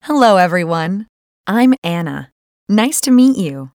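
A woman voices Anna, a cheerful young roommate. Her voice is a little low and moves lively up and down as she greets people slowly and warmly. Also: dry recording, studio quality. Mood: friendly. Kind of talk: scripted textbook dialogue.

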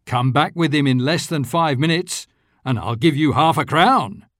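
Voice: medium pitch, normal speed, no room sound, studio quality, voice pushed up, lightly acted. A reader voicing an overjoyed old miser, calling out eagerly and exclaiming. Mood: giddy. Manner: excited, exclaiming.

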